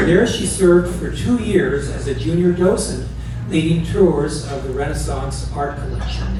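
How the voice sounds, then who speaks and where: A man in his seventies reading a prepared tribute speech to a gathering, with measured phrasing and pauses between phrases. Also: fairly high pitch. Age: 70s. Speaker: speaker giving a birthday tribute to a parent. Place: large indoor church. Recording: echoey church, distant mic, noisy background.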